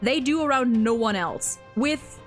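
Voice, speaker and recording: A woman's voice: a little high, lively intonation, a little slow. A young woman in casual fan discussion of a game story, talking in an enthusiastic, informal way peppered with "like". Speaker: video game streamer and discussion host. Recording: music playing behind the voice. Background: music.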